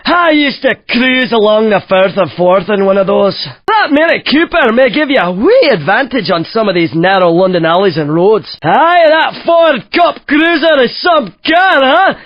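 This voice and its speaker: An actor playing a cheeky, excitable Scottish driving guide who teases and exclaims in a racing video game. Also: male voice, very high-pitched, no room sound. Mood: cheeky, upbeat, then urgent, excited. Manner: teasing, exclamatory. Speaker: game announcer coaching racing drivers. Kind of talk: animated racing game commentary. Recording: dry, slightly dull lo-fi game audio.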